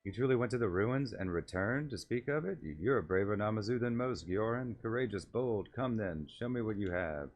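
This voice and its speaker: A man voicing an encouraging, seasoned catfish-like appraiser creature from a game, in a measured, approving manner. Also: fairly low pitch, expressive. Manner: measured, approving, repeats words. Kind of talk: voiced reading of game dialogue.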